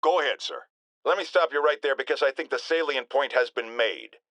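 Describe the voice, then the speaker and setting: A man's voice: medium pitch, expressive, brisk, a bit bright, dry recording. A man playing a blustering, irascible middle-aged talk-radio host in a video game, ranting with theatrical indignation and sarcasm. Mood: brusque, preachy. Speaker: call-in radio show host.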